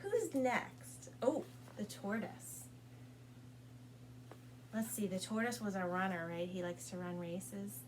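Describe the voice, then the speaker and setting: Slow-paced woman reading to young children. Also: mid-range voice, a little hiss or hum, poor audio. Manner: sing-song, coaxing, asking questions as if to small children. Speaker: children's librarian reading aloud. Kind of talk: storytime read-aloud with sing-along for young children.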